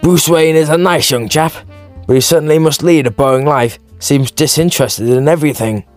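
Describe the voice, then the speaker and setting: A man's voice: on the high side, brisk, lightly acted. A genial police commissioner, voiced by a man chats in a conversational way. The comic-book audiobook scene has music behind the voice. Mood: musing. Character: genial male police commissioner, chatty authority figure. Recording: background music.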